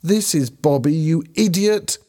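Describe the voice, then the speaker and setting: A man's voice: mid-range voice, sing-song, moderate pace, warm tone, pitched above the performer's own voice. A man voicing a hot-tempered, accusing teenage girl in a story reading. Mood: furious.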